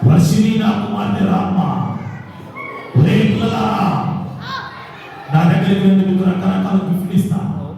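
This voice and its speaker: A man's voice: sits high, normal speed, pauses often, lightly acted. A man voicing a sly, boastful Satan in a children's puppet show, coaxing and calling out theatrically. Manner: coaxing, theatrical, calls out and repeats himself. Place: large indoor hall with young audience. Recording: echoey hall, distant, noisy crowd of children.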